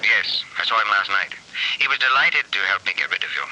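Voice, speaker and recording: A sly sardonic artist, very bright sharp tone, low voice. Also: male voice, expressive, brisk, exaggerated voice, low-quality recording. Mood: gloating. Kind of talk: 1940s radio acting.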